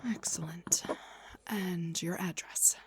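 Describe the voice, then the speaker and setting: A woman playing a gentle, refined vampire doctor in a soft-spoken roleplay, breathy and whispery, with a polite, slightly hesitant manner. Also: on the low side, fairly even intonation, slow, background noise.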